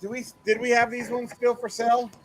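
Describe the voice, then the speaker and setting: A man's voice: extremely high pitch, monotone, brisk, slight background noise. A man hosting a casual group livestream chat, joking and teasing the others.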